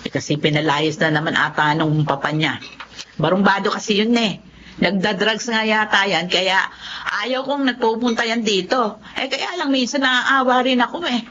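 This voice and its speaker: A woman's voice: medium pitch, moderate pace, fairly bright tone, muffled recording, slight background noise, natural voice. A woman acting a protective, fretful aunt in a radio drama, with an exasperated way of talking. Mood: apologetic, worried. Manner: exasperated, sighing.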